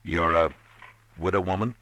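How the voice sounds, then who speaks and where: An actor playing a steady, firm frontier marshal in an old radio drama, speaking in terse, measured lines. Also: male voice, low voice. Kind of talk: 1950s radio drama acting.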